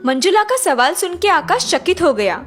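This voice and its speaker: A woman narrates a children's cartoon story. Her voice is high and very lively in its rise and fall. Music plays behind her. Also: moderate pace.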